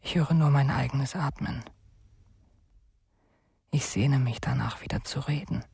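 Very husky, lonely fugitive. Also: male voice, mid-range voice, a little slow, no echo, heavily acted. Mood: lonely yearning. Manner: hushed, brooding monologue. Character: lonely fugitive confiding in Rosa, yearning to talk. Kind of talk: German radio drama monologue.

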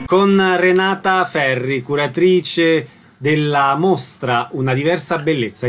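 Male radio host, slightly high pitch, presenter-style interview introductions. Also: a bit bright.

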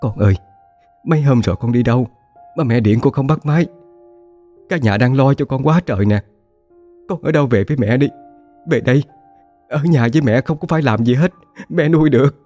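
A man's voice: medium pitch, quick pace, hardly any pauses, warm tone, slightly rough, soft background music, voice pushed up, lightly acted. A man voicing a grieving middle-aged mother who pleads tearfully with her lost daughter. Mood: pleading.

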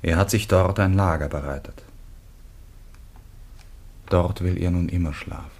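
An actor playing a grave, gentle grieving son in a classic German radio drama, breathy and theatrical. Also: male voice, sits low, a little slow. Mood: grave, evasive.

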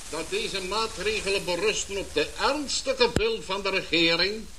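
A man playing a solemn government official giving a formal, measured radio address in a radio play, on a hissy old recording. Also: sits high.

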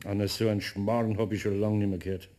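A man's voice: lowish voice, level tone, a little fast, mellow, lightly acted. An actor playing a grumbly but good-natured older master craftsman in a radio play, muttering dryly and patiently with a Bavarian accent. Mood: grumbling resignation.

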